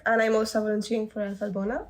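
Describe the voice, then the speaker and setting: A woman's voice: medium pitch, level tone, rapid-fire. A young woman from Poland raised in Spain, volunteering as podcast co-host and asking questions. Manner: attentive listener, short affirming hums. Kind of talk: podcast interview.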